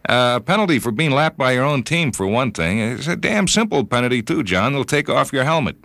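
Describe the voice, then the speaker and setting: A man voicing an uneasy, folksy retired player turned sports judge in a dramatic reading, with halting, hedging speech. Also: mid-range voice, sing-song, fairly bright tone, no room sound, pitched above the performer's own voice. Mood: matter-of-fact.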